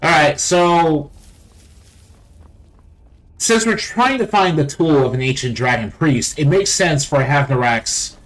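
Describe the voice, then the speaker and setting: A man's voice: fairly high pitch, slow pace, pauses often, a bit bright, poor audio. A man hosting a let's play with casual, offhand and wry game commentary.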